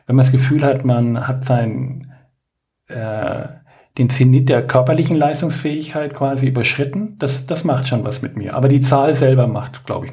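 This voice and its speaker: A man's voice: medium pitch, dark tone. A man in his late forties, a guest coach on a podcast, talking casually with lots of fillers, restarts and self-mocking jokes. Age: 40s.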